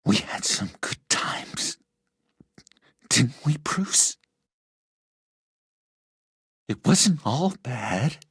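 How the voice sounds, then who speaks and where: An actor playing an unhinged, sentimental, wounded villain in a video game, theatrical and halting, with a raspy voice that slips into whispers. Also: male voice, mid-range voice, big pitch swings, no room sound, clean studio sound, exaggerated voice. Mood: wistful, wounded. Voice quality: raspy, creaky.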